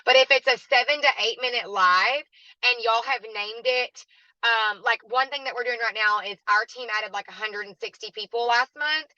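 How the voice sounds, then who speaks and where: Raspy, bright-voiced woman. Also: fairly high pitch, moderate pace, no echo, highs cut off. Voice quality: raspy, hoarse voice. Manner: chatty and encouraging, addresses listeners as "y'all". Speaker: guest speaker at a team training. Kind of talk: motivational training talk.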